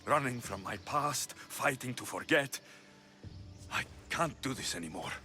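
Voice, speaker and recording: A man's voice: mid-range voice, lively intonation, unhurried, bright, forceful tone, heavily acted. A man voicing a vengeful, battle-weary ancient Greek warrior and grieving son in a dramatic video game, with grave, intense delivery. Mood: somber, weary. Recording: music under the dialogue.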